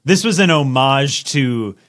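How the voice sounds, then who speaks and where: A man's voice: mid-range voice, lively intonation, unhurried, fairly bright tone. A man talking casually and giving his opinions as co-host of a movie podcast.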